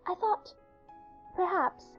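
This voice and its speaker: A woman voicing a kind, dreamy little schoolgirl with a gentle, friendly manner in a dramatized storybook. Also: slow pace, dull audio, soft background music, close to an everyday voice. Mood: friendly, inviting.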